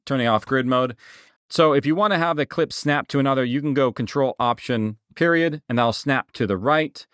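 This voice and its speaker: A man narrating a casual software tutorial as an instructor, informally explaining and thinking aloud as he goes. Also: mid-range voice, dry recording, studio quality.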